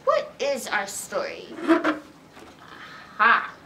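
A middle-aged woman leads story time for small children, taking her time over each phrase. Her fairly high voice swings widely up and down in an exaggerated, teacherly way. She is a little way from the mic. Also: slow. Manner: animated, exaggerated, teacherly, asking questions. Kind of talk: read-aloud story time for young children. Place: indoors in a library, by bookshelves. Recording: roomy distance from mic, background noise.

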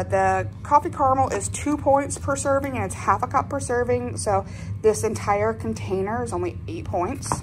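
Young woman, casual and upbeat vlog chatter, middle pitch, faint background hiss. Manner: chatty, upbeat, thinks aloud with fillers. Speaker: vlogger. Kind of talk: casual grocery haul talk. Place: indoors at a table.

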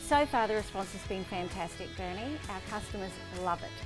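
A woman's voice: medium pitch, animated, brisk, poor audio. A middle-aged woman with an Australian accent, explaining a service in an upbeat, enthusiastic way in a promotional interview. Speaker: shop owner being interviewed. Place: stockroom of a shop, later outdoors by bins. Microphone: lapel mic. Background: background music.

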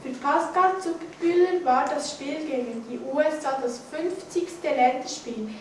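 A woman's voice: sits high, measured pace, darkish tone. A teenage girl reads a news bulletin aloud carefully, sometimes stumbling over words. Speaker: student news presenter. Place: indoor school classroom, seated at a desk. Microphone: distant camera microphone. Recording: echoey classroom, distant mic, faint noise.

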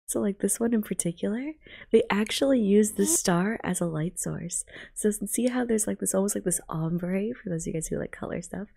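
A young woman giving gushing, casual reaction commentary in a hushed, half-whispered ASMR manner, close to the microphone. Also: mid-range voice, a little fast, gravelly. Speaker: VTuber reacting to a game trailer.

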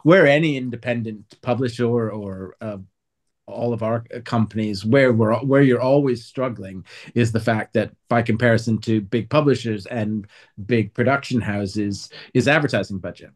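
A man's voice: mid-range voice, normal speed. Earnest, wry man in conversation. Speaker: author and guest. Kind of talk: conversational interview answers.

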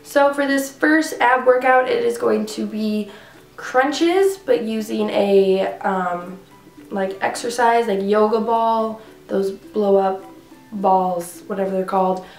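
A woman's voice: medium pitch, fairly even intonation, slow, gravelly, soft background music. A young woman in her twenties, congested, chatting casually to camera at home about workouts, rambling with lots of fillers. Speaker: fitness vlogger. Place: living room at home. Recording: echoey room.

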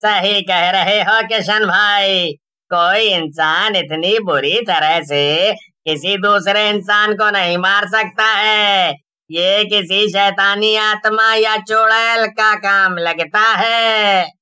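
Male villager, acted by a man, cartoon, superstitious, solemn, high, slow, crisp. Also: fairly even intonation, bright, forceful tone, heavily acted. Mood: grave. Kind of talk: cartoon horror story dialogue.

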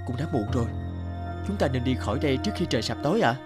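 A man playing an earnest, gentlemanly character in an animated fairy tale. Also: mid-range voice, racing, close to an everyday voice. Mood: urgent. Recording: music under the voice.

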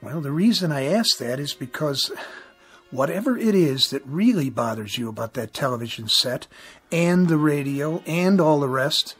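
A man's voice: a little high, expressive, normal speed, fairly bright tone, breathy edge, faint music behind. An actor playing a patient working-class TV repairman in a radio drama, talking in a blunt, folksy, colloquial way. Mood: probing.

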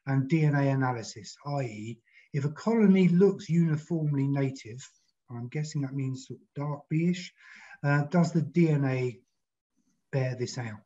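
A man chairing an online session, briskly reading out audience questions over a video call. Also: medium pitch, warm tone, muffled recording.

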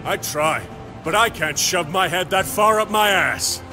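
An actor playing a dry, defiant, wisecracking space marine in a video game. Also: male voice, high voice, measured pace, echoey room, music in the background. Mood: sarcastic. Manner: dry, sarcastic. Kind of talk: video game hero acting.

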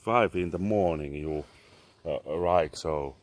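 A man in his thirties with a Finnish accent, vlogging in halting English full of fillers and swearing, outdoors in a garden on a handheld phone. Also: sits low. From Finland. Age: 30s. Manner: halting, many "uh" fillers, swearing, sipping a drink. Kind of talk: casual rambling selfie vlog. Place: garden among trees, outdoors. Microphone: phone held at arm's length.

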